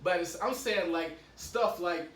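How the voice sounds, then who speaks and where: A young man hosting a casual podcast chat, rambling and halting, often restarting his sentences. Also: high-pitched, a bit bright. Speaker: podcast host. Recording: echoey room, mic at a distance, faint hum.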